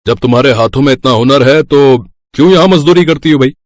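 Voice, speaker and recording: A man plays a middle-aged merchant from a storybook, gruff on top and kindly underneath. He talks plainly, like an elder brother, at a medium male pitch. The recording is close and dry. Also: fast, no echo, lightly acted. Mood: warmly impressed. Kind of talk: acted dialogue in a children's moral story.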